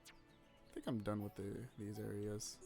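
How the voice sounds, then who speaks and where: A man's voice: fairly low pitch, level tone, measured pace, a little mellow, soft background music. A man live-streaming a game, chatting casually, laughing often and swearing freely as he narrates his play.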